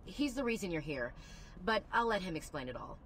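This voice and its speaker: An actress playing a brisk, confident female military officer in a video game. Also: on the low side, very animated, a little hiss or hum. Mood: brisk, assured.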